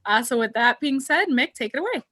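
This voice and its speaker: A young woman on a video call from home. She co-hosts a talk with a slightly high voice and asks friendly questions with small restarts. The sound is plain and clear. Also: expressive, brisk, sharp tone. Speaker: co-moderator. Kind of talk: hosting an online interview. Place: room at home.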